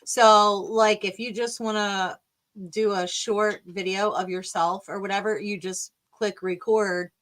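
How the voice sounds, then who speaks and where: A woman's voice: mid-range voice, fairly even intonation, measured pace, a bit bright. A woman hosting a podcast, chatting casually and encouragingly with her guest. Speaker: podcast host. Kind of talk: casual conversation.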